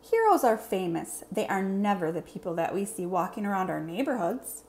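A woman's voice: medium pitch, very animated, moderate pace, darkish tone. A woman voicing a boastful, earnest monster superhero in a storybook, with formal, over-enunciated phrasing. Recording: clear background room noise.

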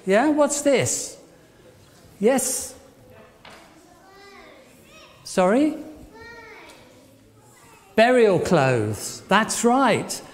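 A man's voice: high-pitched, very animated, drawn-out words, pauses often. An older man giving an interactive church talk, putting questions to listeners and repeating their answers back approvingly. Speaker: church leader at an all-age service. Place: church hall, addressing a congregation. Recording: echoey church hall, faint background noise.

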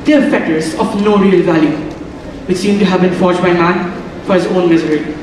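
A young man acting in a stage play as a kind, protective married man, speaking in a calm, measured way. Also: high voice, background noise, lightly acted. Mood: calm, soothing. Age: young adult. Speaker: student actor in a stage play. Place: large theatre stage. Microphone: far camera in the hall. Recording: echoey hall from far off, loud music behind.

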